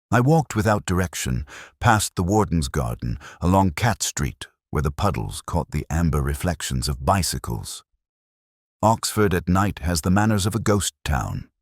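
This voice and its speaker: A man narrating a first-person literary mystery as a scripted audiobook, with a measured, literary delivery. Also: low-pitched, breathy edge, no echo, clean studio sound.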